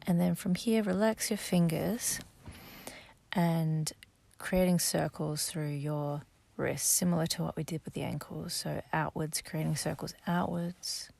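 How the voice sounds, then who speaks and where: A woman guiding a sleep meditation, speaking softly and gently, slow and instructive, sometimes half-whispered. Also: deep voice, steady tone, gravelly. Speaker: meditation guide.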